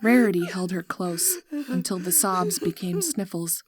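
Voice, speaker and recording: Low-pitched woman narrating a story. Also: animated, unhurried, a crowd in the background.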